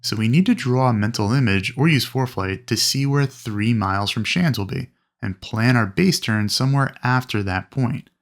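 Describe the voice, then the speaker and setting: A man with a noticeably creaky voice at an ordinary middle pitch delivers instructional narration. He explains step by step, in the clear and methodical way of a flight instructor teaching students. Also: normal speed. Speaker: flight instructor presenting a training video. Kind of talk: instructional narration with acted radio calls.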